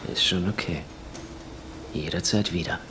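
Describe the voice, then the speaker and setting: A low-pitched, offhand garage worker in a radio crime drama. He talks casually with a callous, guarded edge. Clear workshop noise sits behind him. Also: a little slow. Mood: defensive. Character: casual, bigoted male auto body painter.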